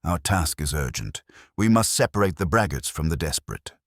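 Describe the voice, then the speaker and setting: Man voicing a stern, very deep-voiced master detective. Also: sing-song, fairly bright tone, no echo, studio quality, full character voice. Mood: grave, urgent. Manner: measured, formal, pronouncing. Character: stern, commanding, brilliant male detective, weary and disdainful. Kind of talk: detective audiobook dialogue.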